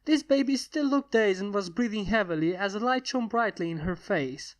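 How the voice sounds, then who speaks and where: A woman reading a fantasy story aloud as scripted, expressive narration. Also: medium pitch, moderate pace. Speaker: fan fiction reader.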